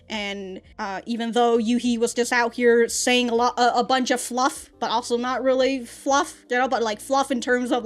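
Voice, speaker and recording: A young adult woman hosting an anime reaction vlog, rambling through a casual review with frequent restarts and laughs. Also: on the high side. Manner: rambling, animated, laughs often, many restarts.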